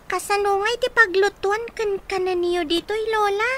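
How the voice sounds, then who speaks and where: A woman's voice: extremely high pitch, fairly even intonation, moderate pace, fairly bright tone, slight background noise. A woman playing a curious, caring young child in a radio drama, earnest and full of questions. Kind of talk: Ilocano radio drama acting.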